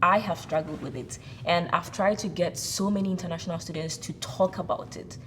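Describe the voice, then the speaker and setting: A woman's voice: fairly low pitch, steady tone, brisk, background noise. A woman hosting a casual interview, speaking in an earnest, encouraging way. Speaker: host.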